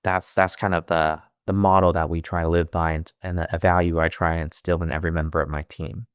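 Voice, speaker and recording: Deep-voiced, earnest man in an interview. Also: level tone, a little fast, smooth, dry recording, studio quality. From United States. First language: Chinese. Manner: earnest, with frequent restarts and fillers. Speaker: financial advisor, interview guest. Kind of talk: interview answers.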